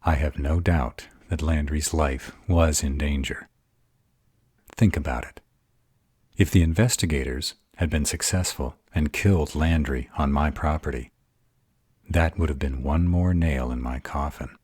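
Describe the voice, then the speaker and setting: A man playing an arrogant, calculating vampire in an audio roleplay, measured and formal. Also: very deep voice, a little mellow, husky, dry recording, studio quality, heavily acted. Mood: composed. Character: arrogant male vampire, composed, calculating strategist.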